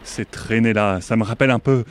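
A man's voice: on the low side, fast, slight background noise, lightly acted. A man playing an affable, chatty country vet at a rural clinic in a comic audio drama. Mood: chatty, welcoming. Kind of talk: French comic audio fiction acting.

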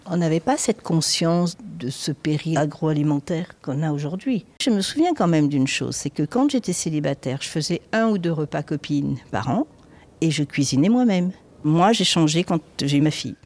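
A woman's voice: sits low, animated, normal speed, darkish tone. A woman in her sixties from southern France, casually recalling her life in plain, colloquial words as an interviewee. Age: 60s. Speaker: restaurant chef interviewed. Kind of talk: casual spoken recollection.

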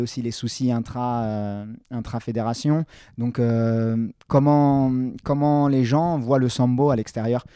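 Mellow-voiced male sports interviewer. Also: medium pitch, smooth, no echo, studio quality. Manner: friendly, rambling questions with restarts.

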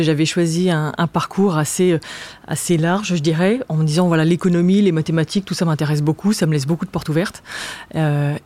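A woman from France, a marketing director, answering interview questions in an agency office with halting, hesitant phrasing. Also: sits low, dark tone.

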